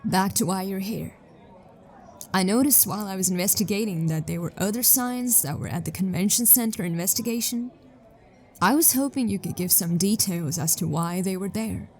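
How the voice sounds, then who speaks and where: A woman acts out a persistent detective in a sci-fi audio drama. Her voice sits at a medium pitch, and she questions directly and skeptically, like an investigator who wants answers. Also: moderate pace, darkish tone, close to an everyday voice. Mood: skeptical, probing.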